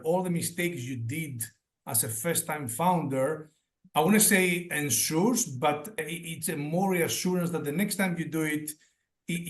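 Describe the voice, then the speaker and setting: A man in his forties from Greece, a native Greek speaker, chatting as an interview guest and often restarting his phrases. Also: fairly high pitch. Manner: restarts phrases often, fillers like "you know". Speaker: guest startup advisor. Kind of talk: casual interview chat.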